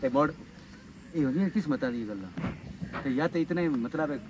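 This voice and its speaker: A man's voice: mid-range voice, lively intonation, a little fast, a little mellow, lightly acted. A young man with a rural Pakistani Punjabi accent playing a taunting, boastful rival in love in a village comedy. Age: young adult. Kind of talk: village comedy drama acting. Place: outdoors in open grassy field. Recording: distant outdoor sound, faint ambient noise.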